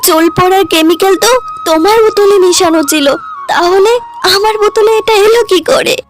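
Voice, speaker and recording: A woman's voice: extremely high pitch, fairly quick, soft background music, pitched above the performer's own voice. A woman voicing a jealous, scheming young daughter-in-law, complaining dramatically in a cartoon. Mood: distraught. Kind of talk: cartoon dialogue.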